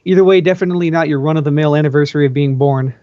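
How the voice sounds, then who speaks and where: A man's voice: a little high, rapid-fire, no room sound. A man giving an informal amateur read-aloud of a first-person story. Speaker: amateur fan fiction reader. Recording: dry, dull lo-fi home recording.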